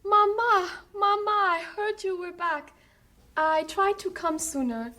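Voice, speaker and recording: An actress playing a devoted, eager young village woman in an old radio drama, speaking in simple, halting, affectionate phrases. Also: very high-pitched, bright, forceful tone, heavily acted. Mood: joyful, affectionate.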